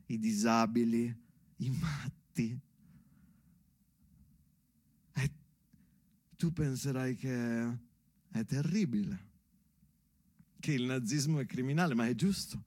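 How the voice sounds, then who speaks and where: A man's voice: mid-range voice, darkish tone, no room sound, studio quality, lightly acted. A man playing a father who reads a rambling, ironic letter to his young daughter as a colloquial, rhythmic stage monologue. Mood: tender, hesitant. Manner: sing-song delivery, colloquial and ironic. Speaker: stage actor and writer performing a monologue. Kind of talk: theatrical monologue, rhythmic spoken word.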